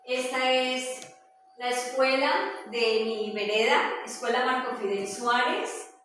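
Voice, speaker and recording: A woman with a Colombian accent giving an informal presentation, telling stories in a halting, conversational way. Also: sits high, steady tone, a bit bright. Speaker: presenter sharing her hometown memories. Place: echoey indoor room with a small audience. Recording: very echoey room, distant mic, faint noise.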